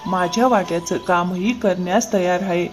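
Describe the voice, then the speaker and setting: Woman as scheming, smooth-talking male cartoon farmer. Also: on the low side, normal speed, soft background music, natural voice. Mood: smugly scheming. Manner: ingratiating, excuse-making. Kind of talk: cartoon moral-story dialogue.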